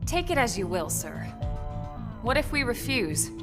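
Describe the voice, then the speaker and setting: A woman's voice: on the high side, big pitch swings, a little slow, music in the background. A woman playing a dryly wry, decisive squad leader in a video game, speaking with controlled composure. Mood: cool, challenging. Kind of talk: video game cutscene acting.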